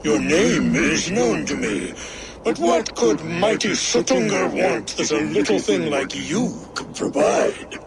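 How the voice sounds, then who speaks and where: An actor playing a slow-spoken, theatrical giant lord in a video game cutscene. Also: male voice, high-pitched, sing-song, gravelly, over a noisy crowd, full character voice. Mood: scornful. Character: giant jotun lord Suttungr, boastful host. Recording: game audio with loud ambience.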